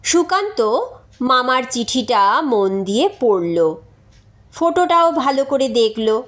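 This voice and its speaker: A woman narrating a written story aloud in a measured, deliberate way, as a storyteller. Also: on the high side, big pitch swings, a bit bright.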